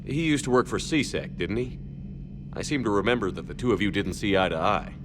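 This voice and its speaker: An actor playing a direct, supportive military commander in a video game, firm and questioning. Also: male voice, fairly low pitch, animated, fairly quick, noisy background, natural voice. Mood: steady, probing. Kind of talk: video game dialogue acting. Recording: clear vehicle ambience behind voice.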